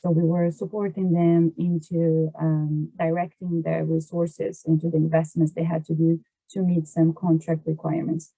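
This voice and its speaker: A woman's voice: low voice, little pitch movement, normal speed, warm tone, dry recording, rough recording. A Brazilian woman with a Brazilian Portuguese accent, explaining her work as an interview guest, hesitating as she searches for words. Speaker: podcast guest, water resources engineer.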